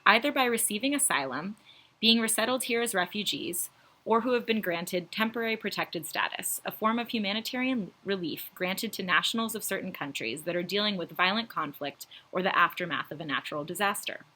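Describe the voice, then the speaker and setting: A woman's voice: mid-range voice, brisk, bright, forceful tone, rough recording. A woman presenting an educational video in a formal, scripted explanatory style. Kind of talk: scripted informational narration.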